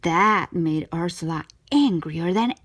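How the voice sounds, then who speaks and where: Female storyteller for children's picture books, breathy tone, expressive reading at an unhurried, very slow pace. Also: fairly low pitch.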